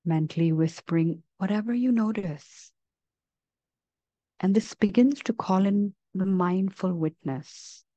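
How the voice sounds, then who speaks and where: A woman leading a guided meditation in a gentle, measured manner, leaving long pauses between phrases. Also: medium pitch, no echo, lo-fi sound.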